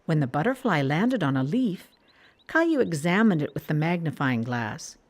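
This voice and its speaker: A woman narrating a scripted children's storybook with expressive, clear phrasing. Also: low voice, moderate pace.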